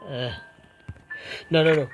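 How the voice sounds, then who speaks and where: Brief: male streamer, slightly high voice, halting read-aloud of a spooky game, nervous asides. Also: steady tone, over music. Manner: halting, stumbling reading, scared outbursts at the game. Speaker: gaming streamer. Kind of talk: reading a horror visual novel aloud with reactions.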